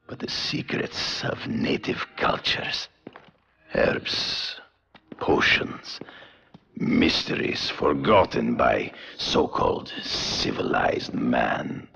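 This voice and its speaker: Very deep, gravelly master hunter. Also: male voice, sing-song, bright, forceful tone, a little hiss or hum, full character voice. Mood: stern, proud. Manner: formal, grave, deliberate, honor-bound. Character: proud, solemn aging master hunter seeking a protege. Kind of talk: video game voice acting.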